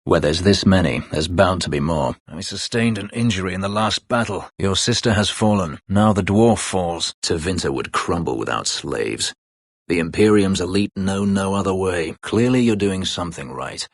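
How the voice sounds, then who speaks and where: A man playing a bitter, brooding escaped slave warrior in a fantasy game, with a gravelly voice and terse, formal, measured delivery. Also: no room sound, studio quality. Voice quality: low, gravelly, husky. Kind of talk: dramatic fantasy game voice acting.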